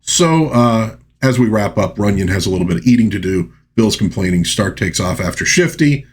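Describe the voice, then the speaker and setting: Male review host, somewhat low and husky, chatty and folksy, talking straight to the audience. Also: animated, normal speed. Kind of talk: conversational movie review, recapping and commenting.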